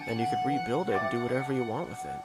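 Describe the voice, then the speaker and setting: A man voicing a calm, polite teenage esper boy in an amateur anime fan dub, explaining things in a composed, courteous way. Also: medium pitch, racing, lightly acted. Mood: calm, explanatory. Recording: loud music under the voice.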